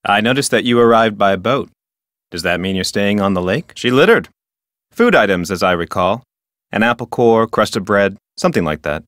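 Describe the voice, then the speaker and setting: An actor playing an officious, dutiful and touchy park ranger in a video game, speaking in a formal, self-important manner. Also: male voice, fairly low pitch, expressive, normal speed, fairly bright tone. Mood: polite, welcoming. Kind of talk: video game character dialogue.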